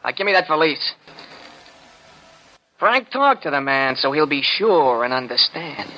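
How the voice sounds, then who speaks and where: An actor playing a young robber who threatens with taunting, tough politeness in a 1940s radio drama. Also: male voice, on the high side, expressive, a little slow. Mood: menacing. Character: menacing young male robber, coolly threatening. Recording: dull, slightly noisy old radio recording.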